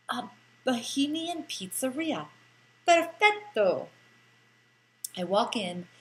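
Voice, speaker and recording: A woman reads a first-person story as an audiobook narrator. Her voice sits at a medium pitch, and she delivers the lines in a theatrical, lilting way, lingering over phrases. A faint noise and hum runs under the recording. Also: low-quality recording.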